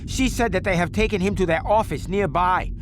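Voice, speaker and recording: A man playing a ruthless gang boss in a video game cutscene, giving orders in formal, measured phrases with menace. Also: sits high, background noise, close to an everyday voice. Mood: urgent, annoyed.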